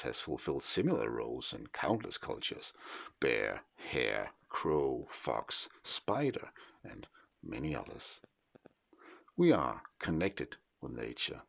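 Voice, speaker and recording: A man with a Danish accent, speaking English as a second language, giving a measured, contemplative scripted narration. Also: extremely low pitch, gravelly. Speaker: essayist narrator.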